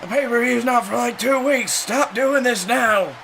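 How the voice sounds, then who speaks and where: Gravelly, wry male color commentator. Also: very high-pitched, normal speed, a bit bright, a little hiss or hum. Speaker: wrestling color commentator, podcast co-host.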